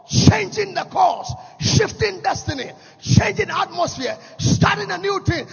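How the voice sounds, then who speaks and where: A man with a Ghanaian accent preaching a sermon, shouting in sing-song, repeating rising phrases in quick bursts. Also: extremely high pitch, vocal fry. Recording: dull lo-fi sound with long hall reverb.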